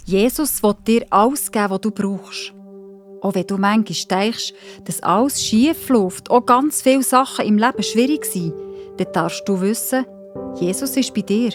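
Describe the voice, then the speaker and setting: A woman narrates a children's story in a Swiss German accent. Her voice sits at a medium pitch, and music plays softly behind her scripted telling. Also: moderate pace.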